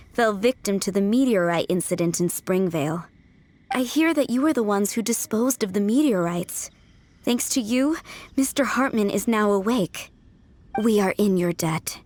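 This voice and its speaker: A woman's voice: medium pitch, dry recording, close to an everyday voice. A woman playing a polite, composed head housemaid in a fantasy video game, speaking formally and courteously. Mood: grateful, courteous. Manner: courteous, formal hostess speech. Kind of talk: anime-style video game voice acting. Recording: game music behind the voice.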